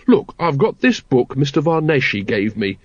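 A man's voice: medium pitch, big pitch swings, moderate pace, dry recording, muffled recording. A man voicing an earnest, naive young male watch recruit in an audiobook, full of questions and emphatic delivery. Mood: bewildered. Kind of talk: audiobook character voice.

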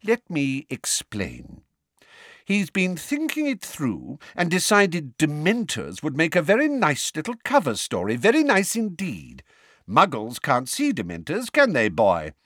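A man's voice: a little high, huge pitch swings, measured pace, fairly bright tone, no room sound, clean studio sound, pitched above the performer's own voice, heavily acted. A man voicing a pompous, sneering, impatient government minister in an audiobook, with a supercilious, snapping manner. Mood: smug, sneering.

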